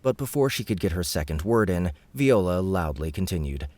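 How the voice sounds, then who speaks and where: Male narrator for fantasy fiction audiobook; deep register, dry studio sound, scripted storytelling. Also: lively intonation, normal speed, darkish tone, dry recording.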